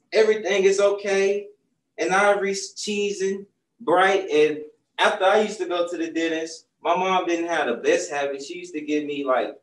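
A young man chats casually with kids about the picture book he wrote, his words a little softened by a face mask. His voice sits a bit high for a man. The sound reaches the camera from some distance in a roomy bookstore. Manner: relaxed, conversational, speaks through a face mask. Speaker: children's book author presenting his book. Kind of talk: casual storytime talk to kids. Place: bookstore, seated on a stool among shelves. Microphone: far camera.

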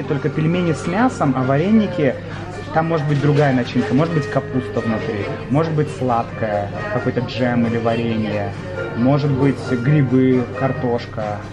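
A young man hosting a vlog, chatting excitedly on a city street and in a restaurant, filmed at arm's length. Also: medium pitch, loud music behind the voice. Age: young adult. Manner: excitable, exclaims loudly, explains slowly. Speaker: vlog host, Russian teacher. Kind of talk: casual chat.

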